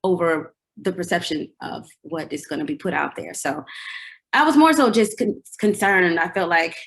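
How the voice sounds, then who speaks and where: Woman in her 40s, singer on a chat show, lively storyteller, laughs a lot, acts out quotes. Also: fairly low pitch, moderate pace. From United States. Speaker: singer, interview guest. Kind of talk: casual interview chat.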